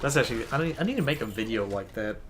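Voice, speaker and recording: A man's voice: fairly low pitch, lively intonation, rapid-fire, noisy background. A young man hosting his gaming channel, laid-back and rambling, swearing freely as he chats with a friend. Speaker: channel host. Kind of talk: casual gameplay chat. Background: game music.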